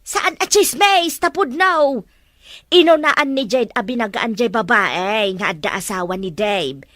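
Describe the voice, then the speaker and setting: A woman's voice: a little high, animated, a little slow, fairly bright tone, no room sound, clean studio sound. A woman playing an older mother in an Ilocano radio drama, speaking emphatically to her grown son as she scolds and confides. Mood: indignant.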